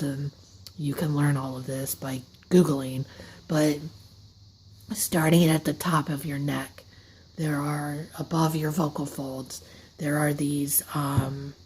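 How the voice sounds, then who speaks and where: A hoarse woman with an airy, breathy voice, telling a casual story-time monologue. She talks haltingly, runs short of breath, and her words often fade into a whisper. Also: low voice, a little mellow, a little hiss or hum. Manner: slips into unvoiced whispers mid-sentence. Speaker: vlogger. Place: seated at a table indoors.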